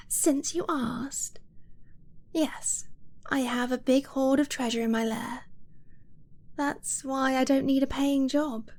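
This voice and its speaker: A woman voicing an affectionate young dragon-blooded girlfriend in an ASMR romance roleplay, soft and breathy, often near a whisper, tender and confiding. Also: high voice. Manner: tender, unhurried, giggly, confiding.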